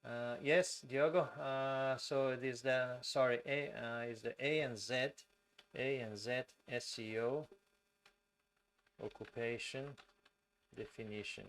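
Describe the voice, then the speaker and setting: Slow-speaking Brazilian man. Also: medium pitch, fairly even intonation. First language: Portuguese. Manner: speaks slowly and deliberately with many fillers. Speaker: host of a live stream. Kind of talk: live-stream talk reading viewer comments.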